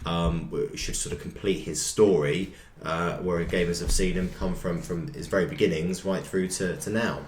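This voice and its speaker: A man's voice: sits low, steady tone, moderate pace, few pauses. A man co-hosting a podcast, talking casually and explaining his view with frequent little "you know" asides. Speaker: podcast co-host. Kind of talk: casual discussion chat. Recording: echoey room with background noise.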